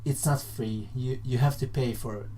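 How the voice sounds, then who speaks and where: A young Finnish man with a soft, mellow tone and a Finnish accent. He is a native Finnish speaker talking informally as a vlogger, haltingly rephrasing as he explains. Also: mid-range voice, steady tone. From Finland. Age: young adult. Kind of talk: casual informal explainer to camera.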